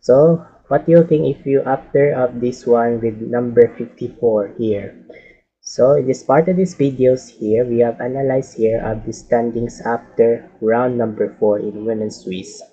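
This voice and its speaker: A man commentating a chess game with a hoarse voice from a cold, speaking halting English full of repeated filler phrases. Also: medium pitch, fairly even intonation, dark tone. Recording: dull lo-fi audio.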